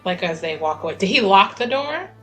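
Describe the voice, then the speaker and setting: A woman's voice: mid-range voice, very animated, fairly quick, fairly bright tone, soft background music. A woman playing a tabletop roleplaying game, chatting casually about what her character does.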